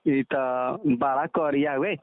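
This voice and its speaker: A man's voice: on the high side, steady tone, slow, dry recording. A man with a Bhojpuri accent chatting casually on a recorded phone call as a guest, agreeable and often repeating himself. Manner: eager, agreeable, repeats short affirmations. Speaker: comedian guest.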